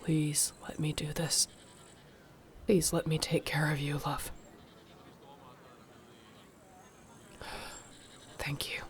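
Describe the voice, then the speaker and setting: A woman playing a protective, tender orc warrior girlfriend in an intimate audio roleplay, speaking in a soft, earnest way. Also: low voice, little pitch movement, measured pace. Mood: anxious, loving. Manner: soft, earnest, soothing, partly whispered.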